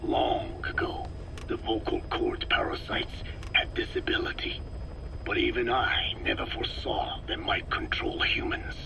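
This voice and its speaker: An actor playing a cold, older male scientist who lectures slowly in a gravelly whisper, on a game audio tape. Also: mid-range voice, little pitch movement. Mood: clinical. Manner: slow, lecturing, measured. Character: cold elderly male parasite scientist, calm and clinical. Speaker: scientist explaining parasites. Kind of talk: game audio log acting.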